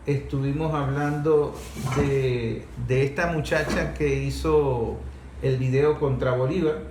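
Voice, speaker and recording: A man hosts a talk programme with a fairly high voice. He explains and argues emphatically, restating his points. The room is clearly echoey. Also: a little mellow. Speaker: host of a discussion programme. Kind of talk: opinionated talk show discussion. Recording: echoey room with faint background noise.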